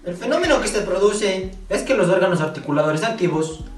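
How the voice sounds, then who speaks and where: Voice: mid-range voice, expressive, brisk. A young adult student giving an explanatory class presentation, recorded with echo and at a distance from the microphone.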